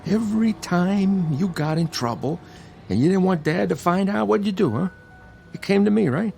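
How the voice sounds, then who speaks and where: A streetwise older brother in a radio drama, battered but protective. His voice is a little high for a man, with a gravelly crackle and lively swings in pitch. He wisecracks in a halting, conversational way, with music underneath. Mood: reassuring, earnest.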